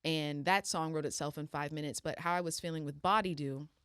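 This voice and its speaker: A woman in her twenties speaks openly and reflectively as a guest in a casual interview. Her voice sits low. The sound is dry and close, with no room around it. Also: fairly even intonation, a little fast, fairly bright tone, smooth, no room sound. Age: 20s. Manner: earnest, reflective, open. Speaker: singer, guest.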